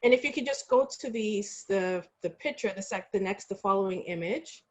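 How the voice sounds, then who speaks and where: Female panel presenter, medium pitch, explaining archival material informally with a halting delivery. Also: animated. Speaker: panelist presenting historical artifacts.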